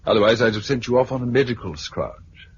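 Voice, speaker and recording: A man with a British accent playing a brisk, affable organiser of survivors in a 1950s radio drama. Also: mid-range voice, natural voice. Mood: brisk, organising. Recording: muffled, hissy 1950s radio recording.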